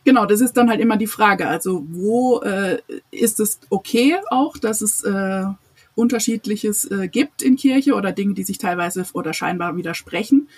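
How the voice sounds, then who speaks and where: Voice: mid-range voice, normal speed, breathy edge. A guest on a podcast, speaking earnestly and laughing often. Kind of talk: casual podcast conversation.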